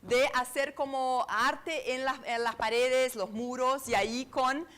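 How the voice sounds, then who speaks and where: A woman's voice: sits high, fairly even intonation, slow, a bit bright. A woman with an Argentine Spanish accent giving a conference talk, searching for her words with many fillers and restarts and checking in with the audience. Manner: asks the room for agreement with tag questions. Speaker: conference speaker on astrology. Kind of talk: lecture to a live audience.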